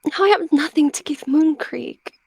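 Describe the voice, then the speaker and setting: A woman's voice: mid-range voice, measured pace, no room sound, natural voice. Woman as a whiny, anxious young she-cat. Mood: whiny, indignant. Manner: hesitant, whiny, mumbling. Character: young anxious, huffy female clan cat, medicine apprentice.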